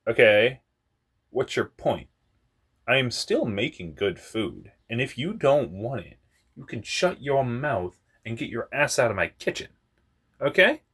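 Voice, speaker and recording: A young man with a very deep voice telling a personal story in a rambling, candid way, often laughing at himself and swearing. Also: very animated, unhurried, fairly bright tone, slightly rough. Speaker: vlogger.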